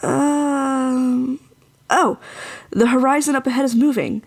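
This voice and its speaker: A woman's voice: high-pitched, steady tone, slow pace, vocal fry, exaggerated voice. A woman playing an earnest, self-doubting young village woman in an audio drama, with a hesitant way of talking. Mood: alert.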